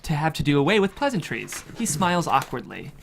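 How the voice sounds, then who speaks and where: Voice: medium pitch, very animated, brisk, gravelly, fairly bright tone, a little hiss or hum. A narrator reads screenplay action lines and scene headings as scripted dramatic narration. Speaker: script narrator reading stage directions.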